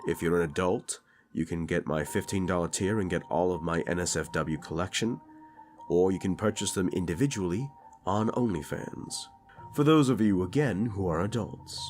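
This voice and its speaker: A man's voice: sits low, darkish tone. A man hosting his audio channel, speaking casually and earnestly to listeners with slight sinus congestion. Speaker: voice actor and channel host. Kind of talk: casual address to listeners, thanking supporters.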